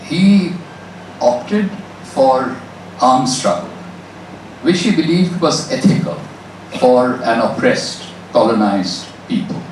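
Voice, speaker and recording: A male professor giving a measured, emphatic academic answer to an audience in a lecture room. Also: mid-range voice, animated. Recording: echoey hall, distant mic, faint noise.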